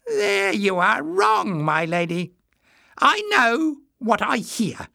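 A man's voice: very high-pitched, sing-song, measured pace, creaky, fairly bright tone, no room sound, studio quality, voice pushed up, heavily acted. A man voicing a small, malicious former acrobat in a story, sly and jeering with mock courtesy. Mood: smug, spiteful. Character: malicious little man, former palace acrobat, mocking and spiteful.

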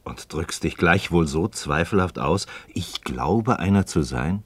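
An actor playing an inquisitive, sceptical friend in a radio dialogue, probing in formal, expressive speech. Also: male voice, deep voice, a little slow, slightly rough, no room sound, exaggerated voice. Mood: sceptical, insistent. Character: inquisitive, sceptical male friend pressing for answers. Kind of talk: German radio play dialogue.

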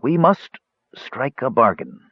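A man playing a desperate, stubborn old wizard in an audiobook, speaking slowly and urgently in a strained, labored voice. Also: medium pitch, very animated, vocal fry, breathy edge, no echo, dull audio, pitched above the performer's own voice, exaggerated voice. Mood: pained, insistent. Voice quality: strained, strangled.

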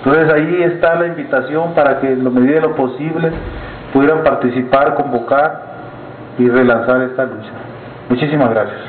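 A man giving an earnest, measured address to a meeting audience, with halting restarts. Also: medium pitch, slight background noise. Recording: echoey room over a tinny lo-fi line.

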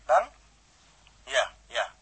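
An actor playing a blunt, wry spaceman in a 1960s radio drama, with a raspy voice and colloquial delivery. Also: very slow, a bit bright.

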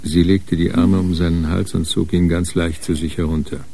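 A man narrating a crime radio play in a measured, sober storytelling manner. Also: deep voice, flat delivery, vocal fry, mellow, slight background noise.

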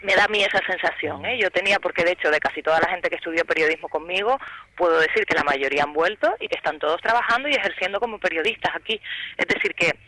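A woman's voice: lowish voice, quick pace, bright, forceful tone, no room sound, low-quality recording. A woman with a Canarian accent, an actress and journalist speaking in a casual panel discussion, thoughtful and lifting her voice when acting out imagined questions. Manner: reflective, rising emphasis when voicing imagined questions.

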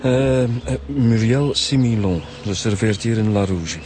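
Low-voiced, earnest Flemish detective played by a man. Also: measured pace, a little mellow, natural voice. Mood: matter-of-fact. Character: earnest, matter-of-fact male police detective, Rudy's rank below chief. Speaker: actor in radio drama. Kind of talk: naturalistic radio drama acting.

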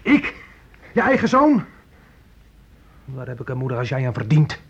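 A man's voice: mid-range voice, big pitch swings, normal speed, fairly bright tone, breathy edge. An actor playing a volatile young fugitive who bullies his mother in a radio play, menacing and childishly needy. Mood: angry, accusing. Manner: menacing, swings into childish pleading. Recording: muffled, noisy old radio play recording.

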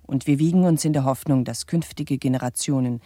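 Very dark-toned, pompous male announcer played by an actor. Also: medium pitch, fairly quick, dry recording, clean studio sound, lightly acted. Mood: formal, pompous. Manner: stiffly courteous, pompous. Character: formal, pompous bourgeois male announcer addressing his public. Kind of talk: formal scripted address in radio drama.

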